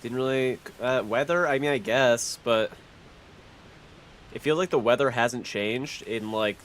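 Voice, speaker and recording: A man's voice: mid-range voice, unhurried, a bit bright, a little hiss or hum. A young man streaming a game with a stuffy nose, chatting casually, repeating phrases and swearing. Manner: repeats phrases, profane, laid-back. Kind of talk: casual live-stream chat. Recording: faint music behind.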